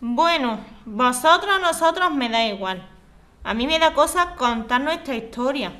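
A woman's voice: high voice, animated, unhurried, a bit bright. A woman from playing a proud, reserved neighbour in a scripted radio drama. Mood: reluctant.

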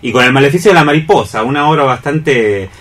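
A man with an Argentine accent in reflective radio conversation, haltingly thinking aloud and rephrasing as he goes. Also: medium pitch, lively intonation, fairly bright tone, recorded from a distance. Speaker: radio programme co-host.

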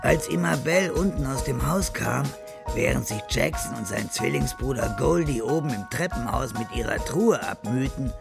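The composed narrator of a crime story on radio. His voice is medium in pitch with plenty of creak. Music runs clearly underneath.

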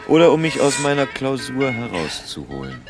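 A man's voice: medium pitch, big pitch swings, moderate pace, over music. An actor playing a weary, laconic private detective in his thirties in a German radio drama. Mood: wary.

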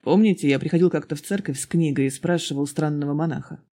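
Storybook role: narrator plays mild, friendly, evasive man, casual delivery, soft husky tone. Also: medium pitch, fast talker, close to an everyday voice.

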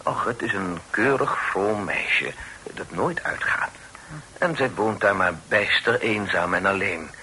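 A humble poet in a period radio drama, lowish in pitch with a bright, forward tone. He talks in courteous, wordy phrases, full of gratitude, over faint recording noise. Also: a little slow, rough recording. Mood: earnest, courteous. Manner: deferential, wordy, genteel old-fashioned speech. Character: humble, effusively grateful amateur poet, Mr Helding. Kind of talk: period radio play acting.

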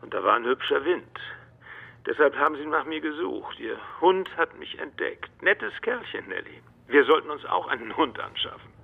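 A man playing a matter-of-fact ex-detective in a radio play, with a rough, husky voice. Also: a little high, animated, normal speed, phone-quality sound, full character voice. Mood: explaining, casual. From Netherlands. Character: male Dutch ex-detective reporting by phone, matter-of-fact.

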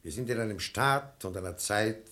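An actor playing a sensible, admonishing brother-in-law who urges moderation, speaking verse in a radio play. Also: male voice, on the low side, pushed and bright. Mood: cautioning. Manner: reasoning, admonishing, measured.

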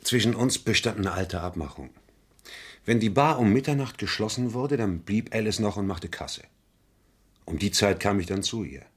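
A man's voice: lowish voice, moderate pace, slightly rough. An actor playing an evasive male murder suspect, defensive and hesitant as he pleads to be believed, in a vintage German crime radio play. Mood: uneasy confession.

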